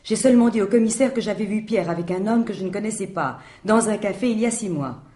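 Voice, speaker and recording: An actress playing a proper, easily flustered widow in a French radio mystery in 1950s style, speaking formally and emphatically. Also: female voice, mid-range voice, fast, warm tone, slightly rough, close to an everyday voice. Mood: guarded. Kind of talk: French radio drama acting in 1950s manner.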